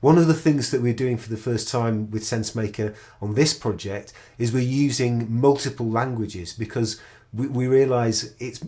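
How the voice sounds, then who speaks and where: A man's voice: fairly low pitch, darkish tone. A middle-aged man, a researcher, explaining a research method in an enthusiastic, explanatory way, often restarting his sentences. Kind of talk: recorded project presentation.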